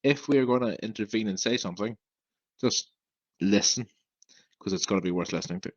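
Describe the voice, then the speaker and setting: A man's voice: on the low side, a little fast, muffled recording. A young man from Northern Ireland, a podcast guest who stammers, talking bluntly and frankly. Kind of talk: casual interview talk.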